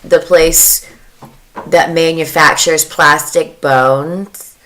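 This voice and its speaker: A woman's voice: sits low, steady tone, extremely slow, fairly bright tone, a little hiss or hum. A woman co-hosting a podcast in casual chat, riffing playfully and joking. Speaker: podcast co-host.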